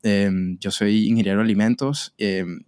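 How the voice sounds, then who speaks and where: A man from Colombia in his thirties, a podcast guest talking in a relaxed, hesitant way with frequent fillers and repeated words. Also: fairly low pitch, moderate pace, clean tone, dry recording, studio quality. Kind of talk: conversational interview.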